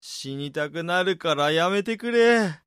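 A man's voice: sits high, animated, unhurried, fairly bright tone, smooth, dry recording, studio quality. A man, around his thirties, playing a wistful, blunt, introspective man in a radio drama and narrating his reflections. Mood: wry, nostalgic. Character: wistful man unsure whether he became an ideal adult.

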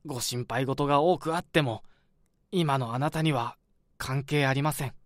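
A measured, courteous hospital doctor, voiced by a man in a radio drama, lecturing gently. His pitch sits mid-range, and the recording is close and dry. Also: no room sound, close to an everyday voice. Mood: calm. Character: calm philosophical male hospital doctor, middle-aged.

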